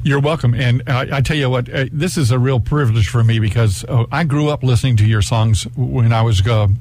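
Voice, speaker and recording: A man's voice: medium pitch, brisk, a little mellow. A man hosting a radio interview, eager and chatty, laughing often. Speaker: radio host. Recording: radio broadcast with faint hum.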